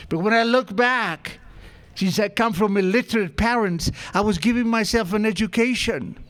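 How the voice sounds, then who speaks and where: An older man with a thick Argentine accent and a fairly high voice. He tells his story to a crowd in a loose, conversational way, poking fun at himself. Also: a bit bright. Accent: Argentine Spanish. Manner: self-mocking, humorous, conversational. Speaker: recovery meeting speaker. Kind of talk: storytelling talk to an audience.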